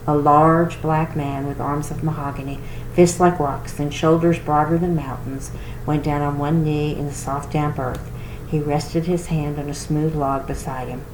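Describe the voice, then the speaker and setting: A woman reading a storybook aloud as narrator for a library, with expressive delivery. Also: deep voice. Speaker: reader for a library read-aloud. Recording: roomy echo with clear noise.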